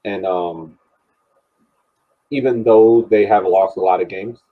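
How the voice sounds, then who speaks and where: A man on a podcast panel casually analysing sport, measured and searching for words, on a dull lo-fi connection. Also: lowish voice.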